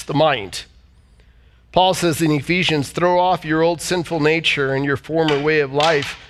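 A man's voice: fairly high pitch, a bit bright. A man preaching a sermon as pastor, reading scripture aloud and asking the congregation to repeat words back to him. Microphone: church service streamed online.

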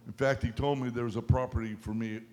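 A man with a North Carolina accent preaching a fervent sermon, often breaking into hallelujah, pausing line by line for an interpreter. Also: medium pitch, steady tone, darkish tone. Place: large hall. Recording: reverberant hall.

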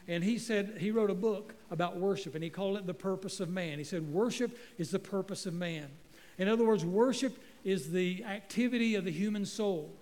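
Folksy preacher, high voice. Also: male voice, a little mellow, long reverb tail. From North Carolina. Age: middle-aged. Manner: folksy, joking, stumbling restarts. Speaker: guest pastor. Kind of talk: sermon. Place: church sanctuary. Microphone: handheld microphone.

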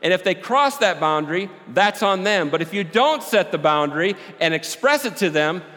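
A man preaching a sermon with a big voice, joking and animated, swinging between loud and quiet. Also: high voice, normal speed. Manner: animated, joking, with rising and falling volume. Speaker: pastor. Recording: long hall reverb.

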